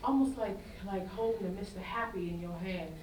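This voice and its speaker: A woman's voice: mid-range voice, fairly quick, a little mellow, natural voice. A woman acting a bold, teasing, tough gun-toting woman in a staged play reading. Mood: urging, excited. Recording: roomy, distant stage recording with faint noise.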